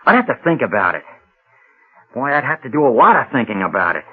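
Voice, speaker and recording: Young small-town coach, earnest, hesitant, animated intonation, radio drama, muffled old recording. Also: male voice, mid-range voice, fast talker, breathy edge. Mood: flustered, hesitant. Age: young adult.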